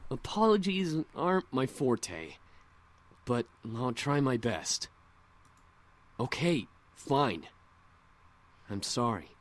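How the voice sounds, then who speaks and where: A man's voice: medium pitch, sing-song, extremely slow, long pauses. A man voicing a brash, impulsive young male incubus, blunt and grudging, in a visual novel. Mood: grudging, contrite. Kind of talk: visual novel character acting.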